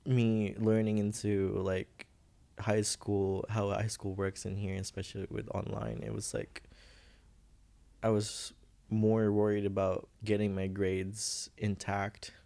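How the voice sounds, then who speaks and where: Young guy, visayan, talks slow and halting with a gravelly edge, searching for words. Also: lowish voice, flat delivery. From Philippines. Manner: soft-spoken, halting, searching for words, laughs nervously. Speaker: podcast guest. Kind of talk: personal story in casual interview.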